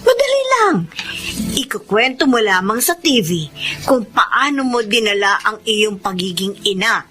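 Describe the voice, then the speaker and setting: A woman with a Filipino accent acting an excitable, persuasive middle-aged mother in a radio drama. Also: on the high side, measured pace, soft background music, exaggerated voice.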